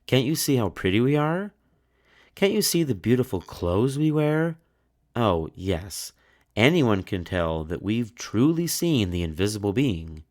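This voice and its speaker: A man acts out a pair of scheming, haughty sisters from a storybook. They are cruel and demanding young women, with a raised pitch that swings around a lot. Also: big pitch swings, measured pace, a little mellow. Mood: boastful. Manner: haughty, demanding, stammering when cornered.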